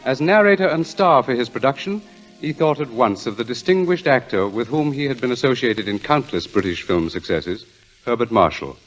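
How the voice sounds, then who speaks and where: A man announcing a scripted radio program in a formal, dramatic 1940s broadcast style. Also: mid-range voice. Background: faint music.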